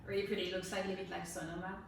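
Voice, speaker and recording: A woman's voice: lowish voice, flat delivery, very fast, slight background noise. A woman from the south of France with a French accent, answering interview questions hesitantly, with many fillers and restarts. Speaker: interior stager, guest. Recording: echoey room from far off.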